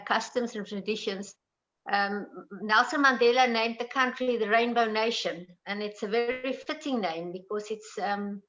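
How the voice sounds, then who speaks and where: A woman with a South African accent, a native Afrikaans speaker, talking as a panel guest in a hesitant, chatty way with many pauses. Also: on the high side, a bit bright. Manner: hesitant, many ums, laughs often. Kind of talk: casual conversation. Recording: dull lo-fi call audio.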